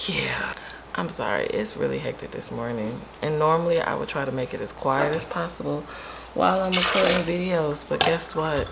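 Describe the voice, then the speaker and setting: An American woman in her thirties with a deep early-morning voice, chatting candidly and informally to camera. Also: vocal fry, very noisy. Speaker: vlogger. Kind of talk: casual chatty vlog to camera. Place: home kitchen and upstairs rooms, indoors.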